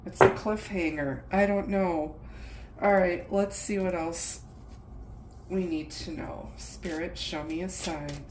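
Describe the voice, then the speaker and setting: A woman's voice: fairly low pitch, fairly even intonation, slow pace, roomy sound, background noise. A middle-aged woman, a little stuffy from the flu, giving a casual tarot reading and musing aloud over the cards. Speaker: tarot reader.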